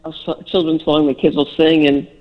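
A woman's voice: low-pitched, flat delivery, brisk, phone-quality sound. An older woman from the Bronx with a Bronx accent, chatting as a radio interview guest and telling stories with self-deprecating jokes. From the Bronx, New York.

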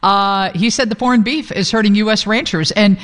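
A woman's voice: medium pitch, big-room sound. A woman hosting a radio show, talking in a chatty, frank and casual way. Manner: chatty, frank, quick to laugh.

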